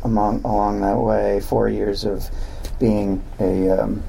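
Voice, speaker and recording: A man introducing an author at a bookstore talk, speaking slowly and haltingly as he searches for words. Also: lowish voice, flat delivery, creaky, warm tone, a little hiss or hum, low-quality recording. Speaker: event host introducing an author. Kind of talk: personal introduction.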